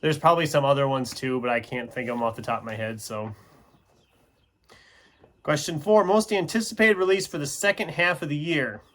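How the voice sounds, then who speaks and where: Guy doing a casual booktube style video, voice a bit on the high side, rambly and unscripted, keeps interrupting himself. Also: fairly quick, a bit bright. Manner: rambling, self-interrupting, conversational. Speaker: book reviewer video host. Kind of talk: casual unscripted chat to camera.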